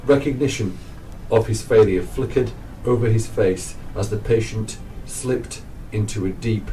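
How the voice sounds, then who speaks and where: Lowish male drama narrator, distant mic. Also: a little slow, warm tone. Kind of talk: scripted radio drama narration. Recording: distant microphone under clear music.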